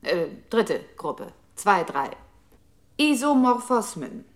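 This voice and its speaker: An actress playing a computer's impersonal control voice in a radio play, announcing commands and technical terms with formal precision. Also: female voice, mid-range voice, big pitch swings, slow pace. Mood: clinical, impersonal.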